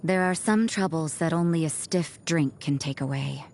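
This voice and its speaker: A woman voicing an aloof, cynical young nun in a video game, with a cool, languid, deadpan delivery. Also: sits low, moderate pace. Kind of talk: scripted game voice lines. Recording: music behind the voice.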